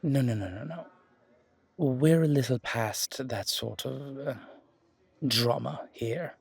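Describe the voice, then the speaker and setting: A man voices a genial, effusive museum curator for a roleplay audio. The voice is gravelly and husky, courteous and welcoming, recorded close and dry. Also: mid-range voice, slow pace, no echo, heavily acted. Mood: warm, welcoming. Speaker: voice actor. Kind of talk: roleplay audio drama acting.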